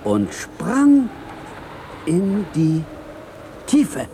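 An actor in a German radio drama playing a scheming comedian with drawn-out, theatrical delivery, on a noisy cassette recording. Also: male voice, sits high, huge pitch swings, exaggerated voice. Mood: slow, theatrical.

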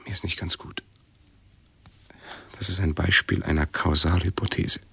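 Deep, creaky pedantic male intellectual. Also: fairly even intonation, normal speed, bright, forceful tone, no echo, exaggerated voice. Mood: pedantic, uneasy. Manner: pedantic, hesitant, self-correcting. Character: pedantic, ailing male intellectual hypochondriac, earnestly explaining. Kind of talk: radio play acting.

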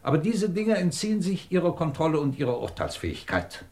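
Imperious old professor, sharply swooping pitch. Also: male voice, wildly swooping pitch, fairly quick, a little mellow. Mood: dismissive, stern. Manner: commanding, sardonic, clipped. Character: imperious old male British professor, curt institute chief. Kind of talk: German radio play acting.

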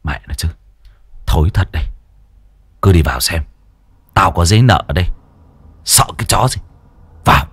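A man playing a gruff, swearing gang boss. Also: deep voice, expressive, normal speed, pauses often, a bit bright, no echo, pitched below the performer's own voice, exaggerated voice. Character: gruff male loan-shark gang boss, bossy.

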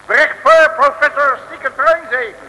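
A jovial, bossy comic detective with a very bright, forceful voice and exclamatory delivery. He speaks high in a mid-century radio comedy manner, over faint hiss from an old recording. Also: moderate pace, full character voice, rough recording. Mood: scheming, bossy. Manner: exclamatory, chuckling, colloquial. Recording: hissy 1950s radio recording.

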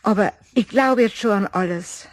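A woman playing a worried, pleading garden owner with a Bavarian accent and a husky voice in a German children's radio play. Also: mid-range voice, normal speed, no echo, close to an everyday voice. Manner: plaintive, anxious.

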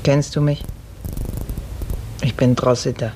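A woman's voice: very deep voice, fairly even intonation, takes it slowly, a little mellow. An actress playing a motherly, world-weary stable woman in a 1950s German radio drama, soothing and resigned, on a faintly noisy old recording.

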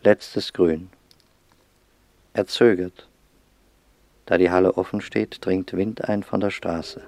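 An actor as the sober, detached narrator of a radio play, reading literary text in a measured, grave manner. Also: male voice, low-pitched, steady tone, a little mellow, dry recording.

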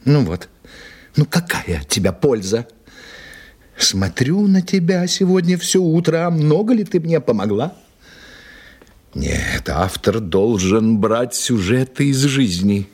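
A man's voice: mid-range voice, big pitch swings, slow, darkish tone, slightly rough, heavily acted. A man playing a fretful, self-dramatizing English mystery writer in a radio play, musing to himself theatrically. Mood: bewildered, exasperated. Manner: theatrical, musing, flustered and hesitant when surprised. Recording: music behind the voice.

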